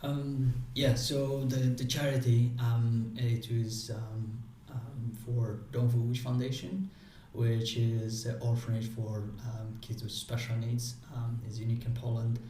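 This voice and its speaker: Halting non-native man in an echoey room. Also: mid-range voice, little pitch movement, mellow. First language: not English. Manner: halting, many restarts and fillers. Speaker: guest. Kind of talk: casual interview answers. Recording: echoey room, distant mic, faint noise.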